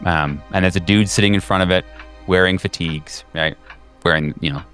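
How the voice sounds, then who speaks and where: A man narrating a tabletop horror game as game master, informally, in halting phrases with repeated words. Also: sits low, fairly even intonation, a bit bright, soft background music.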